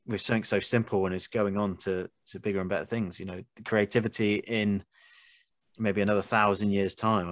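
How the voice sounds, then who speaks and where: A man's voice: deep voice, a bit bright, dry recording. A man hosting a podcast in a casual interview style. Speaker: podcast host.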